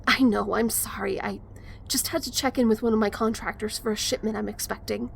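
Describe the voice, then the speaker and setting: A preoccupied, guarded young woman played by an actress in an audio drama. Her mid-pitched voice is creaky and hesitant, with light noise behind it. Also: steady tone, brisk. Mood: evasive.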